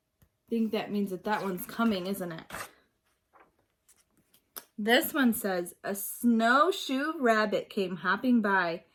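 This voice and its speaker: A woman reading a picture book aloud like a teacher, expressively, pausing to ask and explain things simply for young children. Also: fairly high pitch, fairly bright tone. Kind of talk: read-aloud storytelling mixed with simple questions and explanations.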